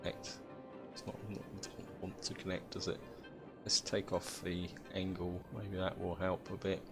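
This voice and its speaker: Croaky low-voiced British man. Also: completely flat delivery, measured pace, over music. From Britain. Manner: thinks aloud, chatty, addresses viewers as guys. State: croaky from a heavy chest cold. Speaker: gameplay commentator. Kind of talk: casual game commentary.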